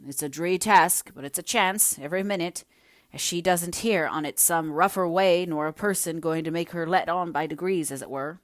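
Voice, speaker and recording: A woman's voice: fairly low pitch, moderate pace, no echo, clean studio sound, lightly acted. A woman voicing a blunt, practical working man who speaks emphatic northern dialect, in a storybook reading. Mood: resigned.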